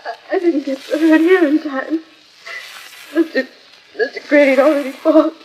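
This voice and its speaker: A woman's voice: high voice, steady tone, moderate pace, darkish tone, lightly acted, low-quality recording. A woman playing an emotional young American female rancher, earnest and dramatic in 1940s radio acting style. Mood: crushed, pleading. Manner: earnest, breathlessly hesitant, dramatic. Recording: old transcription disc with faint noise.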